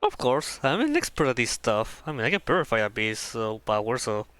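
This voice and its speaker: A man streams a game with casual, playful commentary. His voice is mid-pitched and bright, swinging up and down as he jokes, with faint music underneath. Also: sing-song, fairly quick, no echo. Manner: playful, joking. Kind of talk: casual live reaction commentary.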